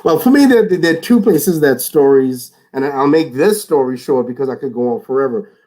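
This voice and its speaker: A man's voice: medium pitch, expressive, moderate pace, dark tone. A man speaking as a guest in a reflective conversation over a video call, earnest, often repeating words as he starts a thought.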